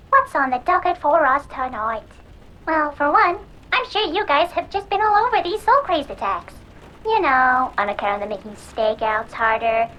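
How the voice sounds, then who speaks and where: A woman's voice: sits high, a bit bright, low-quality recording. A woman playing a cocky, sardonic hacker who hosts a pirate radio show in a cyberpunk audio drama, talking theatrically to her audience. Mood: cocky, teasing.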